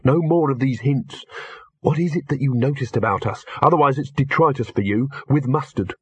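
A man's voice: mid-range voice, normal speed, fairly bright tone, breathy edge, no echo, highs cut off, voice pushed up. A man reading the part of a wry, practical, impatient young man in an audiobook, dry and direct. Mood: stern, wry. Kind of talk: audiobook character voice.

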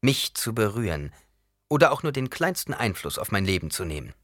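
Man playing a young ruler, cold and bossy, voice swings way up, loud and bright. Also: fairly low pitch, sing-song, brisk, no room sound, clean studio sound, voice pushed up. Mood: declaiming. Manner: forceful and declamatory, rising to shouts. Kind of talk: audiobook character voice.

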